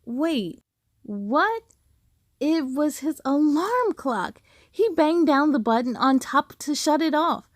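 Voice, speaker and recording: A woman reading a children's book aloud with expressive storytelling and dramatic emphasis. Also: high voice, slow, no echo, studio quality. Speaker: storybook reader.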